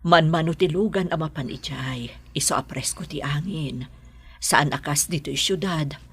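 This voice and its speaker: A middle-aged woman with a Filipino accent playing a gentle, worried mother in an audio drama, with a husky voice. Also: low voice, normal speed, full character voice. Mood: gentle advice. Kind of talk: Ilocano audio drama acting.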